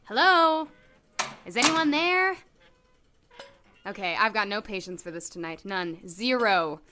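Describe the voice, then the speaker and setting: A woman playing an impatient, sardonic young lab employee in an audio drama, expressive and given to muttering. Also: medium pitch, measured pace, a little hiss or hum. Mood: angry, threatening.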